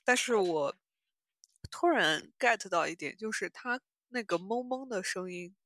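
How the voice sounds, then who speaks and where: Female podcast host, bright clear-cut tone, conversational and musing, short phrases, recorded dry. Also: medium pitch, lively intonation, a little slow, dry recording. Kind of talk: casual chat reviewing audio dramas.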